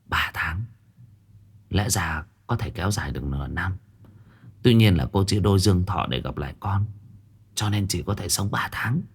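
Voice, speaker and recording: A man voicing an old female fortune-teller who speaks gravely and solemnly, in a low, husky voice. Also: expressive, moderate pace, long pauses, exaggerated voice.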